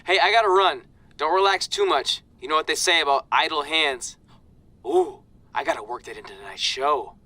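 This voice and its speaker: An actor in a video game cutscene playing a cheerful, goofy retired wrestler who now hosts TV, with an excitable, playful manner. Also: male voice, on the high side, moderate pace, no room sound. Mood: warm, pleased.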